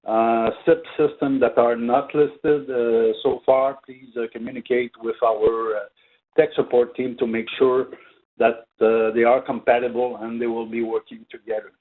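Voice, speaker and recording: Halting male presenter on a phone-like line. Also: medium pitch. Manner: halting, hesitant delivery with very frequent filled pauses. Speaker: product trainer presenting for a company. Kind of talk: unscripted webinar product presentation. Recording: narrow, tinny phone-like line.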